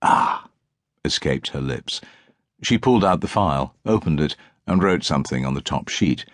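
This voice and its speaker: A man's voice: a bass voice, expressive, normal speed, no room sound, clean studio sound. A man reading a crime novel as an audiobook narrator, in scripted literary prose with precise diction.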